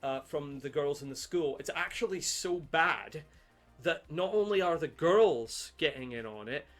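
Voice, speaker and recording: A man co-hosting a film podcast in casual chat, halting and rambling, with casual swearing. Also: a little high, animated. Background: background music.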